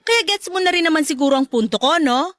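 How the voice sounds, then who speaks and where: A woman's voice: high voice, lively intonation, fast, a bit bright, dry recording, clean studio sound. An actress playing an irritated mother confronting her ex-partner in a Tagalog radio drama, curt and assertive, with a Filipino accent. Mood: angry, firm.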